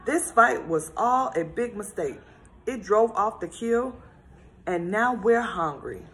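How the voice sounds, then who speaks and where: A woman's voice: medium pitch, expressive, slow, fairly bright tone, pitched above the performer's own voice. A woman reading a storybook, voicing a brave rainbow fish who earnestly pleads for peace. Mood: pleading.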